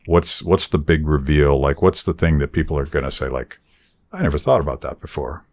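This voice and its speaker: A man hosting an interview in a casual, halting, rambling conversational way. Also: very deep voice, lively intonation. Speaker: interview host.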